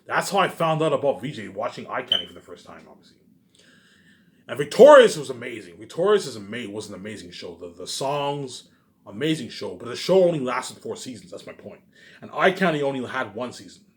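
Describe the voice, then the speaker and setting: A man rants casually and off the cuff, as if to his online followers. His pitch swings widely around an average male register. He hammers on the same phrases with emphasis, then drops away into slangy trailing asides. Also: sing-song, brisk. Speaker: solo online vlogger. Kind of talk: casual unscripted monologue rant.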